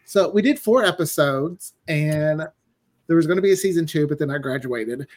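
A man's voice: on the high side, expressive, moderate pace, darkish tone. A man speaking as a writer guest, casually recounting how his work came about. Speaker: guest, audio drama writer.

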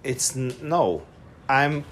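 A man's voice: medium pitch, lively intonation, takes it slowly, a bit bright, slight background noise. Hesitant Hungarian-accented man chatting. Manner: hesitant, many fillers and restarts, laughs often. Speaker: guest. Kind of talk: casual interview conversation.

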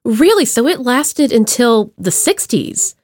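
A woman's voice: a little high, big pitch swings, takes it slowly, a little mellow, breathy edge, no room sound, clean studio sound. A woman hosting a podcast, chatting casually with playful enthusiasm and informal slang. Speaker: podcast host interviewing a guest. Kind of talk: casual upbeat chat. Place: remote video call.

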